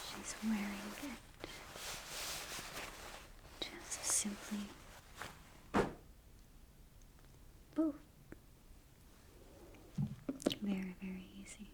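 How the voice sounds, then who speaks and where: A woman voicing a ghost guide in an ASMR roleplay, in a breathy whisper with slow, hushed, deliberate instructions. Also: medium pitch, background noise, lightly acted. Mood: hushed, instructive. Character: ghost guide briefing a newcomer to the afterlife. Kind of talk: soft-spoken ASMR roleplay.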